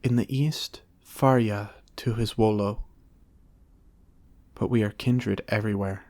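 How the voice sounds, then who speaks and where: A man voicing a serene, gentle female lamb spirit from a storybook, speaking formally and with calm reassurance. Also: lowish voice, slow, no echo. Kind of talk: storybook character reading.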